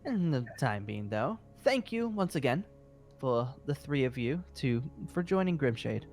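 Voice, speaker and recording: A man's voice: mid-range voice, sing-song, unhurried, darkish tone, faint music behind. A man playing a genial, rambling guild master mage in an improvised game roleplay, speaking politely and hesitantly. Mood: genial, modest. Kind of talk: improvised Minecraft roleplay acting.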